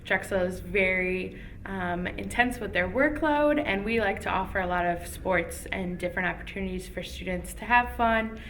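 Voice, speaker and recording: A woman's voice: mid-range voice, normal speed, fairly bright tone, distant mic, a little hiss or hum. A young woman from Chicago, a sports coordinator, answering interview questions in an upbeat, friendly way. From Chicago, USA.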